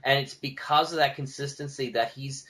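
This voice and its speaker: A man's voice: medium pitch, steady tone, moderate pace, a bit bright. A man with a mid-range voice talks as a podcast guest in loose, conversational style. He is emphatic, often repeating a key word to drive it home.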